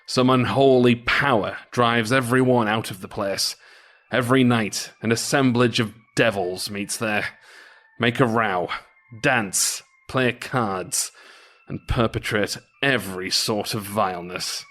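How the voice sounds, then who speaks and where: A man's voice: fairly low pitch, a little slow, fairly bright tone. A man voicing a gossipy folktale innkeeper who explains things in ominous tones. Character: male innkeeper, gossipy bearer of dark local tales.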